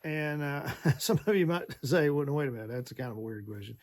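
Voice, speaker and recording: A man's voice: a little high, expressive, fairly quick. A man of fifty-nine talking casually to his viewers, rambling with lots of fillers and restarts. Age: 50s. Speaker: vlogger. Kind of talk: casual talk to camera.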